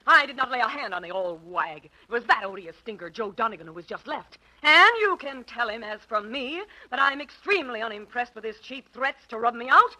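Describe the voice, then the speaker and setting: A woman's voice: on the high side, animated, a little fast, hardly any pauses, bright, forceful tone, full character voice, low-quality recording. An actress playing a brassy American showgirl who puts on fancy words in affected, mock-refined 1940s radio comedy style. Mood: indignant. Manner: affected, mock-refined tough-girl talk.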